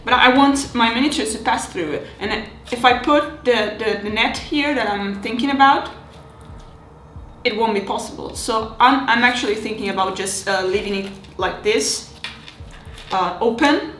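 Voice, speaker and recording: A woman in her thirties casually narrating her hobby build, chatty and halting, with many restarts and fillers, recorded at home on a desk camera mic. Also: on the high side, a bit bright, reverberant, slight background noise. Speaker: miniature terrain hobbyist.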